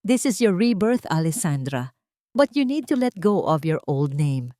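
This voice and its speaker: A woman voicing a grave, urgent male rescuer in an audio drama, speaking in a hushed, serious way. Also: a little high, very animated, moderate pace, no room sound. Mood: urgent, resolute.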